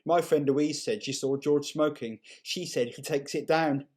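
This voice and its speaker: A man's voice: fairly high pitch, fairly even intonation, measured pace, a little mellow. A man voicing a cool, unimpressed teenage girl in a story, with short, offhand delivery.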